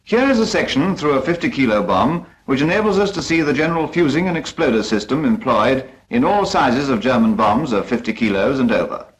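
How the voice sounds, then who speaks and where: A man's voice: medium pitch, lively intonation, a little fast, few pauses, poor audio. A British man narrating a 1940s instructional film from a script in a formal, precise, instructive manner.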